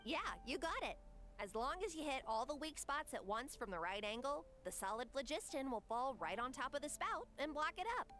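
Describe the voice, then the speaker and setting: A woman's voice: sits high, lively intonation, a little fast, a bit bright. A woman voicing a careful young technical expert who explains things in a video game. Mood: explaining. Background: faint music.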